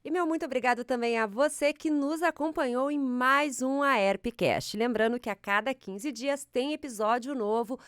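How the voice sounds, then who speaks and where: A woman's voice: sits high, moderate pace, few pauses, a bit bright, clear, resonant tone, dry recording, studio quality. A woman with a Brazilian Portuguese accent hosting a podcast, putting short conversational prompts to her guest and signing off the episode. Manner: brief conversational prompts and sign-offs. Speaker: podcast host.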